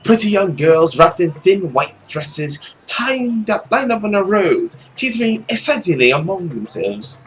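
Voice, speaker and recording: Animated young man reading a story. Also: sits high, unhurried, few pauses, a little hiss or hum. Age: young adult. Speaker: let's play host reading a visual novel aloud. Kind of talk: expressive story narration with casual commentary.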